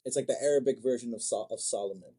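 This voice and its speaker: A man's voice: mid-range voice, fast, warm tone, breathy edge. A man from Senegal chats casually as an interview guest, agreeable and slangy, often repeating short affirmations. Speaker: guest, manga creator. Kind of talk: casual chat. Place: own art studio.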